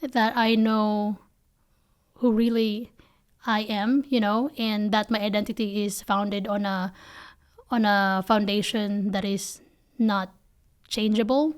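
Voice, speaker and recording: A woman's voice: mid-range voice, steady tone, takes it slowly, long pauses, smooth. A woman in her thirties from the Philippines with a Filipino accent, answering interview questions earnestly and often saying "you know", on a desk podcast mic in a small office. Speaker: guest, company founder. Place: small office studio with bookshelves. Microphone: desk podcast mic on boom arm.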